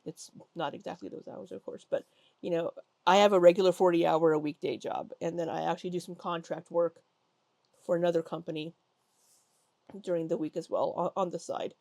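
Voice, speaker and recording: A woman's voice: on the low side, steady tone, fairly quick, gravelly, darkish tone, dry recording. A woman with a stuffy nose giving chatty, critical reaction commentary over video clips, with lots of ums. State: congested. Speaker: commentary YouTuber.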